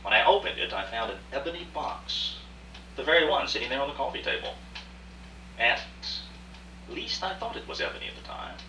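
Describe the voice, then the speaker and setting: A man's voice: mid-range voice, very animated, fairly quick, sharp tone. A man acting a cultured, proud businessman, telling his tale articulately and emphatically in a live radio drama. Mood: reminiscing. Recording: roomy far-off rehearsal recording, faint hiss.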